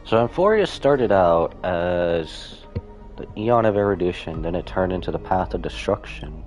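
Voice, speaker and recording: Deep-voiced man reacting casually. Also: lively intonation, moderate pace, darkish tone, soft background music. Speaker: streamer reacting to a game story. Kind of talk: live casual reaction commentary.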